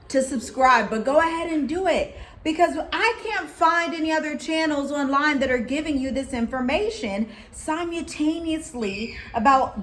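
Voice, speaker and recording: An American woman co-hosting a casual chat, talking in a lively, preachy way with scripture references. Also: sits high. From United States. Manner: animated, preachy, quotes people in put-on voices. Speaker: co-host.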